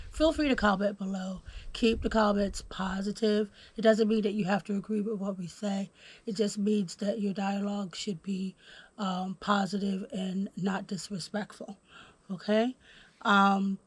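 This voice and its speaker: A woman's voice: mid-range voice, normal speed, no echo. A woman from Houston, hosting a casual podcast chat while a little under the weather, with plenty of ums. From Houston, Texas. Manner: relaxed, filler-heavy, reads from notes. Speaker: podcast host. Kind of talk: casual conversation.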